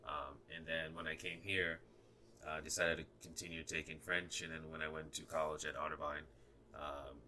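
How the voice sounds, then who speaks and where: A young man from Ghana, a native Ga speaker, with a very low, bright and forceful voice and a slight Ghanaian accent. He talks hesitantly in a casual podcast chat, full of fillers and restarts. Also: little pitch movement, normal speed, a little hiss or hum. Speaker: guest.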